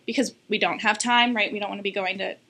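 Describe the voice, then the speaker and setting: A woman speech-language pathologist explaining voice and hormones in an interview, steady and informative with frequent ums. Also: medium pitch, fast. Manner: clear, informative, many ums.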